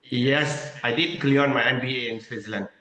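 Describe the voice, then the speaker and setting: A man's voice: medium pitch, moderate pace, bright, forceful tone, echoey room, muffled recording. A man, a hotel general manager answering politely and formally over a video call. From Malaysia, raised in Singapore. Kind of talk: remote interview via video call.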